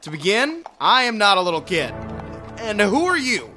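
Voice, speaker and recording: A man performs a determined young boy hero in a comic audio drama. The voice is sharp and fairly high, sassy and indignant, with grand melodrama and light music behind. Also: very animated, normal speed, heavily acted.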